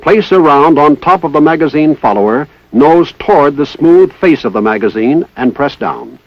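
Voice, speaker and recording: A man's voice: on the high side, animated, normal speed, no echo. A man with an American accent reading formal, methodical instructional narration in the style of a 1960s military training film. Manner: formal, precise, methodical enunciation. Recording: dull lo-fi 1960s film soundtrack.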